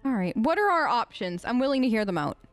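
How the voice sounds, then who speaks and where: A woman's voice: a little high, sing-song, quick pace, no room sound, soft background music. A woman playing through a role-play game, voicing her choices in a casual, chatty and informal way. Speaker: let's play streamer.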